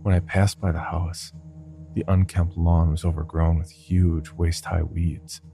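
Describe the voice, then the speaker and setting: A man reads a first-person horror tale in a deep voice with a heavy gravelly fry. The tone is very dark and mellow, and faint music sits behind him. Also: flat delivery, unhurried. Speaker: horror podcast narrator. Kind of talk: scripted first-person horror story narration.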